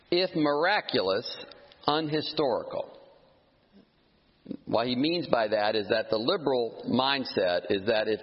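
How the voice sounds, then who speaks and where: A man preaching a sermon, emphatic and animated, scornful of his critics, with frequent restarts. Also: medium pitch. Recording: muffled sound with long hall reverb.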